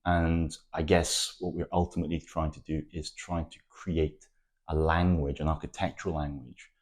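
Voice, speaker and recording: Very deep-voiced Welsh-born man, reflective. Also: little pitch movement, normal speed. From Wales. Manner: thoughtful, poetic, with frequent ums and restarts. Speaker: architect. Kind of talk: reflective interview answers.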